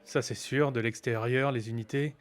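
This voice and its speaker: Actor as a defensive rebel chief, medium-pitched. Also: male voice, steady tone, moderate pace, clean tone, natural voice. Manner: defensive, casual, colloquial. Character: haughty rebel chief, defensive under questioning. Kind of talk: acted dramatic dialogue.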